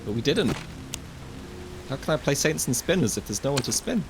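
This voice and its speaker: Young man hosting a gaming video, chatty and wry, cracking jokes as he explores, in a mid-range voice with game music clearly playing behind him. He talks straight into a close mic. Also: mid-range voice, very animated, a little fast, poor audio. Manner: chatty, joking, wry asides to the viewer. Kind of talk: casual let's-play commentary.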